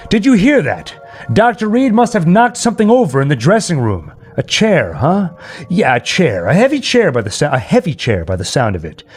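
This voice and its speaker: A man playing a nervous, rambling man alone in a haunted house in a horror radio play, halting and talking straight to his listeners. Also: on the high side, a little mellow. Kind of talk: old-time horror radio play monologue.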